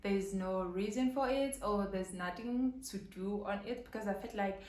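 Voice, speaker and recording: A woman's voice: medium pitch, moderate pace, darkish tone. A young woman vlogging in casual chat to camera, earnest and rambling with lots of fillers. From South Africa, KwaZulu-Natal. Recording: roomy echo, faint background hum.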